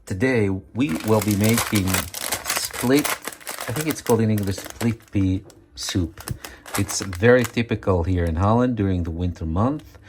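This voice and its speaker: A deep-voiced man walks viewers through a recipe in a relaxed home-kitchen chat. His English comes with frequent ums and self-checks as he searches for words. A faint background noise sits under the voice. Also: a little slow. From Morocco. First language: Amazigh. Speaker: cooking video host. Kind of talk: casual cooking demonstration.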